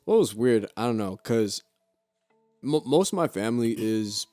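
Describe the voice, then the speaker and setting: A man's voice: medium pitch, animated, moderate pace, mellow, no room sound, soft background music. A young man, a podcast co-host whose first language is Romanian, talking in a laid-back, slangy way and laughing often. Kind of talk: casual chat.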